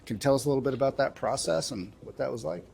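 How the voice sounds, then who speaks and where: A man's voice: medium pitch, rapid-fire, creaky, a little mellow. A man working as an interviewer, casually asking questions in an upbeat, appreciative manner.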